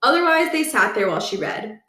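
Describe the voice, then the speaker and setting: A woman reading a children's storybook aloud with expressive, deliberate delivery in a roomy space. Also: a little high, distant mic. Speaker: read-aloud reader. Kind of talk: scripted storybook narration. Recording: roomy, echoing room.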